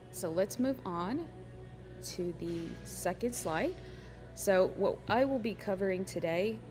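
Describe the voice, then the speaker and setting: A woman's voice: on the low side, a little mellow. A woman from Ethiopia presenting an online workshop, friendly and encouraging, with many ums and uhs. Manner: frequent fillers, friendly and encouraging.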